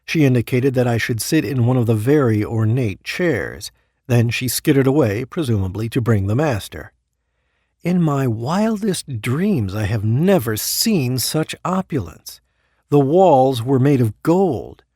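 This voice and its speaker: Slightly husky, self-important damned man. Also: mid-range voice, animated, measured pace, mellow, no room sound, studio quality. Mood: measured storytelling. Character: Peter Sinclair, ambitious, self-important damned man narrating. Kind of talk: audio drama acting and first-person narration.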